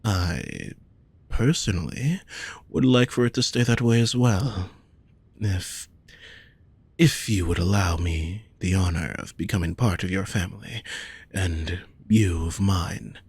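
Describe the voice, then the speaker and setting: A man playing a possessive, lovesick wealthy nobleman in a romantic audio roleplay, speaking formally and deliberately to the listener. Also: deep voice, darkish tone, husky, no room sound, exaggerated voice. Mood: earnest, devoted. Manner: formal, measured, deliberate phrasing.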